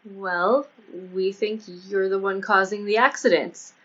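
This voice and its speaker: A woman's voice: mid-range voice, measured pace, fairly bright tone. A woman voicing a matronly older policewoman in an audiobook, giving motherly, admonishing advice. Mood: motherly warning. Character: matronly, cautioning older policewoman, veteran investigator. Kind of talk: audiobook character dialogue. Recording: dull lo-fi audio.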